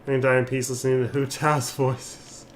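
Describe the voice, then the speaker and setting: A man's voice: medium pitch, little pitch movement, fairly quick. A man doing casual gameplay commentary as a streamer, rambling and thinking aloud. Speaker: game streamer. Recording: clear background noise.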